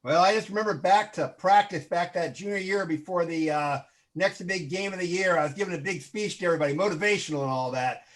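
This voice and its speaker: A man's voice: sits high, fast, fairly bright tone. A man playing a sports coach who is a panelist in an improvised comedy game show. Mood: reminiscing.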